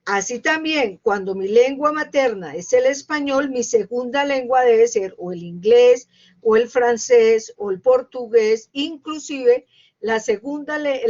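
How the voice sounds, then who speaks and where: Woman lecturer, higher voice, hesitant explainer, muffled online-class sound. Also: darkish tone. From Colombia. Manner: reads quickly, then explains slowly with many hesitations. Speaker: university lecturer. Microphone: computer mic on a video call. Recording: dull lo-fi online class audio.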